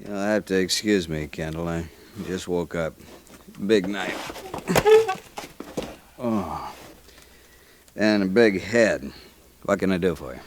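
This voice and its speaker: A man's voice: low-pitched, slow, long pauses, noisy background. An actor playing a laid-back American frontier newspaperman in a radio western, casual, terse and plain-spoken. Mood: groggy, friendly. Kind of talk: old-time radio western acting.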